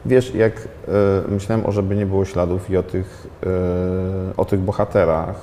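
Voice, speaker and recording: A man in his thirties, a film director, giving a reflective interview in a studio set, thinking aloud with many pauses and restarts. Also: low voice, flat delivery, warm tone, slight background noise. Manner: thoughtful, hesitant, many fillers and restarts. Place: studio set in a large glass-walled building.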